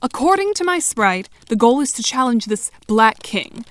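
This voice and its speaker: A woman's voice: on the high side, big pitch swings, normal speed, a bit bright. A woman playing a dry, self-assured, sardonic teenage girl with formal diction in an audio drama.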